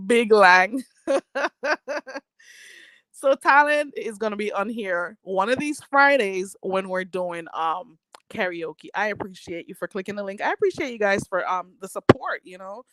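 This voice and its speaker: A woman hosts a relaxed livestream, reading viewers' comments aloud and wandering from thought to thought. Her talk is full of "you know" and easy laughter. Her voice sits at a middle pitch and sounds dry and close, with no room around it. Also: a little slow, long pauses, no echo. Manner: rambling, filler-heavy, laughs often. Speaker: livestream host reading chat. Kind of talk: casual chat.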